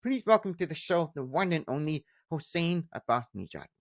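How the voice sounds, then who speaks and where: A man co-hosting a podcast, reading the guest introduction in a measured, steady way. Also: a little high, dry recording, studio quality. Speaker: podcast co-host. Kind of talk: read introduction and questions.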